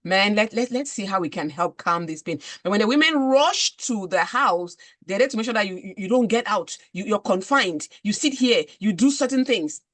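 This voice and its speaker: A woman's voice: medium pitch, animated, normal speed, hardly any pauses, a bit bright, no echo. A woman from Cameroon hosting a conversational interview, speaking emphatically and often murmuring agreement. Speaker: podcast host.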